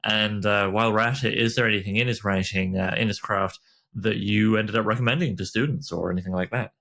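A male interviewer with a fairly low but bright, keen-edged voice. He chats casually and easily, circling a little before he lands on a question. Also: fairly even intonation, a little fast, vocal fry. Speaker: interviewer, host. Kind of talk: casual literary interview chat.

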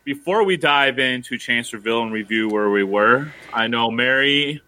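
A man's voice: medium pitch, normal speed, bright, forceful tone, rough recording. A man co-hosting a history podcast in casual chat, joking around and rambling with self-mocking asides. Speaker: podcast co-host.

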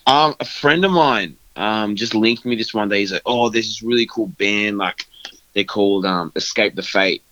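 Young male, low-ish bright voice, rambling casual talker, dull dry recording. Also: normal speed, no room sound. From Australia. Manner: loose and rambling, many fillers and "like". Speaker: guest band vocalist. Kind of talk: casual interview chat.

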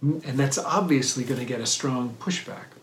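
A man giving a thoughtful dharma talk on mindfulness, pausing and restarting often and checking in with "right?". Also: mid-range voice, a little hiss or hum. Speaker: meditation teacher. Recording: roomy echo.

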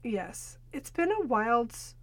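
A woman's voice: fairly high pitch, sing-song, takes it slowly. A woman co-hosting a podcast in casual chat, sounding stuffy and nasal from a cold, talking from her home booth. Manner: chatty, laughs often, trails off mid-sentence. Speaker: podcast co-host. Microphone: studio mic over video call.

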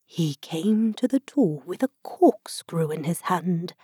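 A woman's voice: on the low side, expressive, slow pace, mellow, husky, no echo, studio quality, full character voice. A woman voicing a vague, rambling old storybook queen, muttering absently as if to herself. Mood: musing. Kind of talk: storybook character reading.

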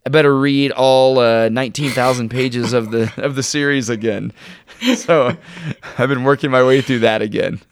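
A man co-hosting a podcast, chatting casually in an informal, halting way and sounding enthusiastic about his favorites. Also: mid-range voice, fairly even intonation, smooth, dry recording, clean studio sound. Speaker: podcast co-host. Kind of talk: casual chat, recommending shows and books.

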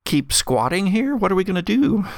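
A man's voice: high-pitched, sing-song, moderate pace, gravelly, no room sound, studio quality. A man acting as game master of a tabletop roleplay podcast, improvising narration and in-character dialogue.

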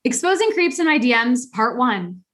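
A woman co-hosting a podcast in a casual chat, animated, laughing often and talking with many fillers. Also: on the high side, normal speed. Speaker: podcast co-host.

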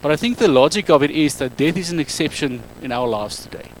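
A man in his thirties speaking English with an Afrikaans accent, giving a public talk on a handheld mic in a halting, self-correcting way. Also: a little high, expressive, slight background noise, poor audio. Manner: halting, self-correcting non-native English with frequent ums. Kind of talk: public talk to a live audience.

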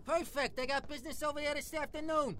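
A man's voice: very high-pitched, steady tone, fast, fairly bright tone, slight background noise. An actor playing a foul-mouthed, bigoted Italian-American tough guy with a New York accent, brash and streetwise in a comic dialogue. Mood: breezy, menacing. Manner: brash, profane, streetwise slangy talk.

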